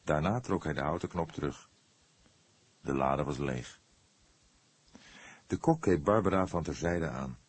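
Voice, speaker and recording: Deep, husky male audiobook narrator. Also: lively intonation, a little slow, pauses often. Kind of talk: scripted narration of a detective novel.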